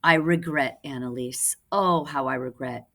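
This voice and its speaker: A woman's voice: rumbling low, a little slow, a bit bright, heavily acted. A woman voicing a wistful old male sea captain's letter in a storybook, solemn, formal and full of regret.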